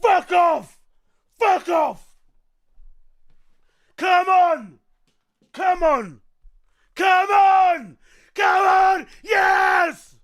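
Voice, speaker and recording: A man reacting live to a football match, excitable and yelling and swearing at the screen. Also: very high-pitched, drawn-out words, long pauses. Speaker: streamer host.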